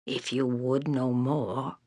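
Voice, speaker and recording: Husky, slow old seer woman. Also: extremely low pitch, level tone, darkish tone, no room sound, clean studio sound, exaggerated voice. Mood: resigned, solemn. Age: older. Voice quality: breathy, husky, aged. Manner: slow, grave, portentous. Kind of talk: dramatic fantasy game voice acting.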